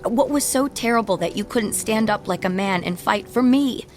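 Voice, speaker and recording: An actress playing a sharp-tongued, wounded ex-wife in a radio drama, guarded and full of biting, sarcastic retorts. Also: female voice, a little high, normal speed, faint music behind, close to an everyday voice. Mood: bitter, angry.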